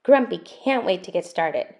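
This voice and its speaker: A woman narrating a picture book aloud for children in an expressive storytime style. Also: lowish voice, unhurried, vocal fry. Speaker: storytime host and reader.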